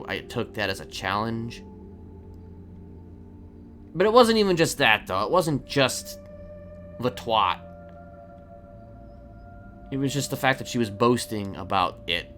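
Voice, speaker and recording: A man playing a calmly boastful, evasive serial killer in improvised crime roleplay, rambling and hesitant as he confides. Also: lowish voice, heavily acted. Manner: halting, rambling, hesitant delivery.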